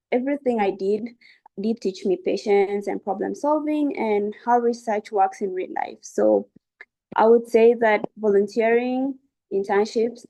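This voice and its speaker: A woman's voice: mid-range voice, warm tone. A young woman giving an informal, encouraging educational webinar talk as a student speaker. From South Sudan. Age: young adult.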